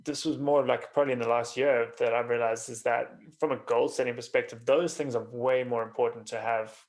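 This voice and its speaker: A man hosting a podcast in a casual interview style, thinking aloud informally in halting, restarted phrases. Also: mid-range voice, fairly even intonation, darkish tone. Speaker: podcast host.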